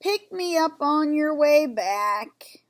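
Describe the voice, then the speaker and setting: A placid storybook porcupine, read by a woman, plain and unhurried. He speaks slowly and calmly in a fairly high voice. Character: slow, placid male porcupine.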